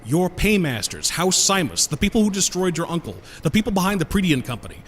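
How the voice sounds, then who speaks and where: An actor in an audio drama playing a glib, teasing gentleman genius, sardonic and mock-polite in his wordy delivery. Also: high-pitched, sing-song, fast talker, slight background noise. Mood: pressing, needling.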